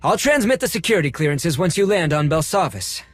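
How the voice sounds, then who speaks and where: A fussy male droid collector, high in pitch and swooping dramatically from note to note. He is pompous and easily flustered, with the theatrical delivery of a video game cutscene. The recording is dry and close, over background music. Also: big pitch swings, brisk, no room sound. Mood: scheming, pompous.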